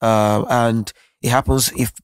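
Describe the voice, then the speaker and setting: A man's voice: mid-range voice, level tone, measured pace, clear, resonant tone, no echo. A man with a Nigerian accent talks in an earnest, reflective way, often circling back to restart his thoughts. His voice stays level with little rise and fall. The sound is dry and close, with no room around it. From Nigeria (Lagos). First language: Yoruba. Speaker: musician guest. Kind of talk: candid interview conversation.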